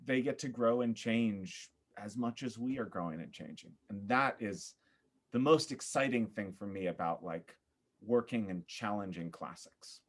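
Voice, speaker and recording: A man chatting casually as a podcast guest, with an animated, theatrical way of talking. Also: on the low side, normal speed. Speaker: former actor.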